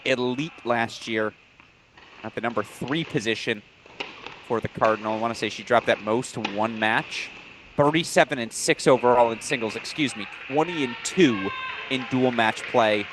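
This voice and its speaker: A man doing play-by-play commentary for a college tennis broadcast, brisk and informative, with brief apologetic asides. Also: medium pitch, background noise.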